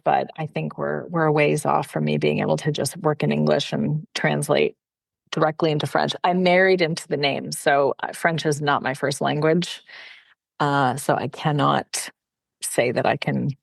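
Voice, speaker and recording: A woman's voice: sits low, fairly even intonation, normal speed, vocal fry, no echo. A woman, a personal brand consultant from Canada, speaking as a podcast guest in a chatty, friendly way with frequent fillers. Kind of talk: casual interview conversation.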